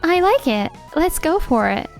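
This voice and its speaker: A woman's voice: extremely high pitch, big pitch swings, unhurried, exaggerated voice. A woman playing a reserved young company president in a manga dub, businesslike and guarded about her feelings. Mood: approving. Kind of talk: anime-style manga dub acting. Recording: background music under the voice. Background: music.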